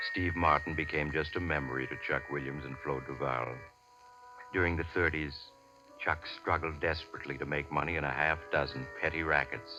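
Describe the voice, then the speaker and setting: An actor playing the grave storyteller of a 1940s radio mystery, narrating in a measured, dramatic manner. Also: male voice, very deep voice. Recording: dull old radio recording. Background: music.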